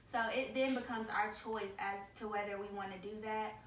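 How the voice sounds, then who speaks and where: A woman's voice: mid-range voice, steady tone, normal speed. A young woman hosting her vlog in a casual, chatty way and leading a group through questions. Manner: friendly, chatty, leads the questions. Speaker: YouTuber host. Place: indoor room with guests. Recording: echoey room, distant mic, faint noise.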